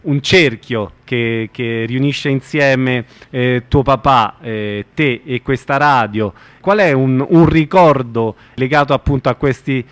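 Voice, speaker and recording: A man with a clean, smooth voice at a middle pitch. He interviews informally on radio, feeling his way through questions with halting pauses, recorded dry and close. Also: no echo. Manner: hesitant, halting phrasing with searching pauses.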